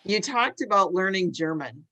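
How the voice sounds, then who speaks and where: A woman hosts a casual podcast conversation with a bright, clear voice. She draws her guest out with gentle, encouraging questions. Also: mid-range voice, animated, moderate pace, rough recording. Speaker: podcast host. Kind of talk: casual interview chat.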